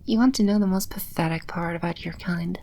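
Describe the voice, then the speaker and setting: A woman playing a haughty, scornful female demon in a scripted audio roleplay, condescending and sardonic, with breathy edges to her voice. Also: fairly low pitch, a little fast, a little mellow.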